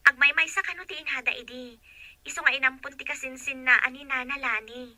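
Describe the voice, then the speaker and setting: Woman acting a high, bright, inquisitive young daughter. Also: steady tone, fast talker, no echo. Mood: explaining. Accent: Filipino. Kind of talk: Ilocano audio drama acting.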